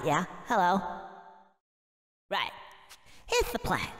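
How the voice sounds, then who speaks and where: Slow, wry cartoon squirrel hero. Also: male voice, high voice, a bit bright, big-room sound, exaggerated voice. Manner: wry, hesitant, muttering to himself. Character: cheeky sardonic young male cartoon squirrel hero. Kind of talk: video game character acting.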